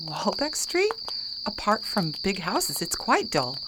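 A woman's voice: mid-range voice, huge pitch swings, takes it slowly. A woman playing a prim, curious young Victorian lady engaged to be married, in a radio drama. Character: genteel young Victorian fiancée, curious and proper. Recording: noisy night ambience with crickets.

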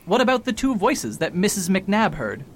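Man as pompous doubting doctor, slightly high voice, radio play. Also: very animated. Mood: skeptical. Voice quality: brisk, pompous, skeptical. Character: proud skeptical doctor-criminologist, impatient. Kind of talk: amateur radio drama acting.

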